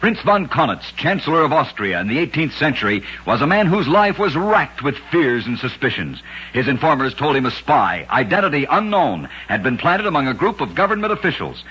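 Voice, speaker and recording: A man narrating scripted strange tales for an old-time radio show, with deliberate, dramatic storytelling delivery. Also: on the high side, big pitch swings, sharp tone, slightly rough. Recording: dull old radio recording.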